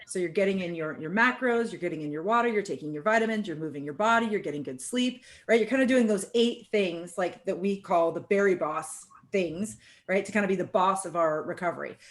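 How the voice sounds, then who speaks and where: A woman hosting a relaxed group conversation. She sounds upbeat and encouraging, talks in a loose, chatty way and keeps checking in with her guests. Her pitch sits in an ordinary middle range. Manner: upbeat, encouraging, chatty with many "right?" tags. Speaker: host. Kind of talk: casual group chat.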